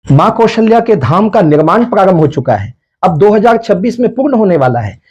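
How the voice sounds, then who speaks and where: A man's voice: high voice, sing-song, a little fast, a little mellow. A man from Chhattisgarh with a Chhattisgarhi accent preaching to a village gathering, making earnest, direct appeals to the crowd. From Chhattisgarh, India. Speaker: religious preacher. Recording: echoey, distant, dull sound.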